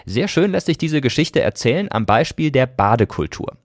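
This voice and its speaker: A man presenting as a podcast host in a scripted, conversational moderating style. His voice sits at a middle pitch with animated intonation. The sound is close and dry. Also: normal speed, no echo. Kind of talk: scripted narration and interview moderation.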